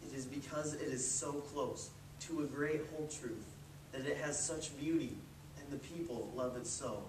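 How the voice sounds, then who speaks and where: Man playing gentle ancient turtle, distant and echoey. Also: medium pitch, normal speed, lightly acted. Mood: patient, reassuring. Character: wise, gentle, ancient turtle, patient and kindly. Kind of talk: readers theatre storybook performance. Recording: roomy, distant mic with clear noise.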